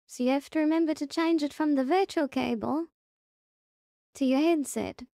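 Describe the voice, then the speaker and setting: A woman narrating a casual software tutorial, instructing step by step and repeating her reminders. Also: sits high, moderate pace, vocal fry, no room sound, clean studio sound. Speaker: tutorial presenter.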